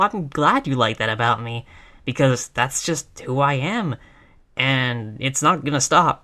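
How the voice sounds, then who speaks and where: A woman's voice: rumbling low, a bit bright. A woman playing a tough, reckless girlfriend in a roleplay audio, brash but affectionate, with casual, sweary bravado. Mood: tender, sincere. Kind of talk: intimate roleplay audio acting.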